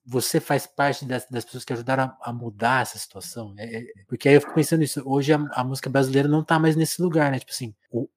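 A man's voice: mid-range voice, steady tone, fast, few pauses. A man interviewing a musician, asking long rambling questions that he keeps rephrasing.

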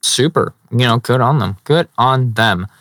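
A man's voice: mid-range voice, lively intonation, fairly bright tone, no room sound, clean studio sound. A young man with a Chicago accent hosting a casual sports podcast, laughing easily and restarting his sentences. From Chicago area. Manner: laughs often, halting restarts. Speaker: podcast host. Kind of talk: casual chat.

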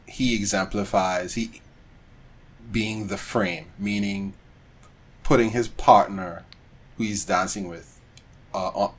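Male podcast co-host, lower-pitched, playful banter, casual anime talk. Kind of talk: casual chat about anime.

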